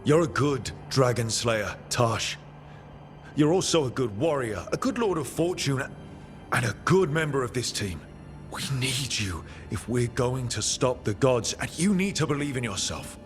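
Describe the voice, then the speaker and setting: Steady male game hero in reverb, acted. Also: medium pitch, measured pace, close to an everyday voice. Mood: concerned, firm. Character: steady, supportive male team leader, wry. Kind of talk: video game dialogue. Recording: long reverb, faint music.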